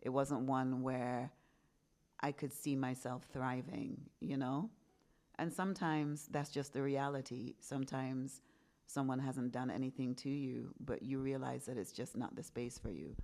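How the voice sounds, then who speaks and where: A woman's voice: extremely low pitch, steady tone, unhurried, mellow. A woman in her fifties from England, a podcast host telling her own story in a candid, reflective conversation. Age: 50s. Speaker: podcast host being interviewed.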